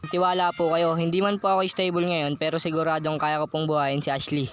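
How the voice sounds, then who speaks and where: Guy playing polite devoted teen boyfriend, radio drama, talks fast. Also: a little high, flat delivery, a bit bright, slight background noise, close to an everyday voice. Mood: apologetic. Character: earnest, respectful teenage boyfriend devoted to his girlfriend. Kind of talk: amateur student radio drama acting.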